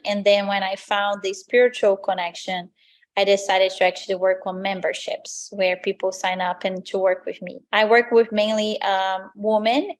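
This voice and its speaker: A woman from Brazil with a Brazilian accent, a native Portuguese speaker, answering interview questions as a lifestyle coach in an earnest, motivational way. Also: medium pitch. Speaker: lifestyle coach, podcast guest.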